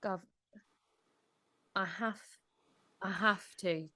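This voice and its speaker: An actress playing a grave, restrained junior British police officer in a drama. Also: female voice, medium pitch, fairly even intonation, drawn-out words, pushed and bright, dry recording, full character voice. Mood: grave, reproachful. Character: grave, shaken junior police officer confronting her boss. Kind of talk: audio drama acting.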